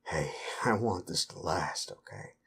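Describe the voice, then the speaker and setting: Gravelly, low-voiced gruff werewolf played by a man. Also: lively intonation, fairly bright tone, slight background noise, exaggerated voice. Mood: gruff, tender. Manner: blunt, coarse, halting, coaxing. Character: gruff, cocky male werewolf turning protective of partner. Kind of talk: intimate audio roleplay acting.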